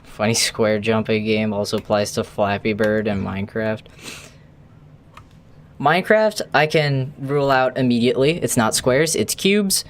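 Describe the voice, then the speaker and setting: A man's voice: medium pitch, measured pace, a little hiss or hum. A man streaming a video game, talking casually to his chat in a chatty, rambling way with the odd swear. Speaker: gaming streamer. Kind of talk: casual live-stream commentary while playing.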